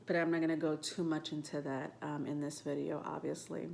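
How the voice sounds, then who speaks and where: Stuffed-up woman vlogger with a low voice, loose and rambling delivery, filler-heavy, slight room hiss. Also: flat delivery, fairly quick, gravelly, darkish tone. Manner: rambling, frequent ums and self-corrections. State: stuffed up nose. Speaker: tarot and astrology vlogger. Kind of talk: casual talk to camera.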